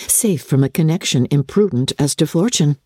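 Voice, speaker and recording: A woman voicing a sensible young gentlewoman in an audiobook, with a dry, ironic manner. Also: sits low, lively intonation, normal speed, husky, dry recording. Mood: dry, relieved.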